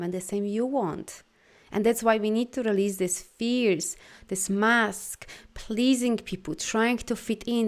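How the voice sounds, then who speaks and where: A woman with a Polish accent, a native Polish speaker, leading a live video talk as a life coach. She speaks in an animated, encouraging way with loose grammar and many "you know"s. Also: mid-range voice. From Poland. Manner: soft, slow guidance during breathing. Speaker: life coach and energy healer.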